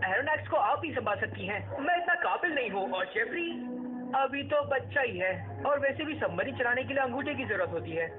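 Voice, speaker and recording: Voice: medium pitch, animated, a little fast, close to an everyday voice. A voice actor dubbing a cartoon teenage son who eagerly explains science facts and plans. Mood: pleading. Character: young male son, eager science-minded teen. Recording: tinny phone-like sound with music.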